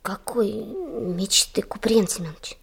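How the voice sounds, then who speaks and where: A woman's voice: medium pitch, expressive, unhurried, creaky, slightly rough, exaggerated voice. An actress playing a timid, curious young schoolgirl in a radio play, speaking hesitantly and deferentially. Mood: timid curiosity.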